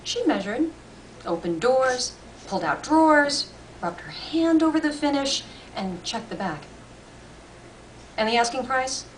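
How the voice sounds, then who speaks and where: A woman reading a story aloud as expressive scripted narration. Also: sits high, measured pace. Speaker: story reader. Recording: distant mic, faint room noise.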